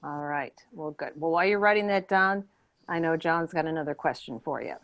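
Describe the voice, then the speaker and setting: A woman's voice: low-pitched, a little fast. A woman playing an earnest, upbeat job interviewer in improvised sketch comedy, with an encouraging, mock-serious corporate manner. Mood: earnest, insistent.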